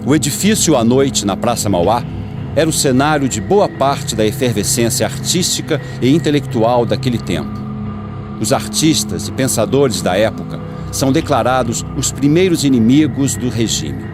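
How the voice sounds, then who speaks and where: A man's voice: medium pitch, animated, moderate pace. A Brazilian man reading scripted radio documentary narration in a solemn, dramatic manner. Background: music.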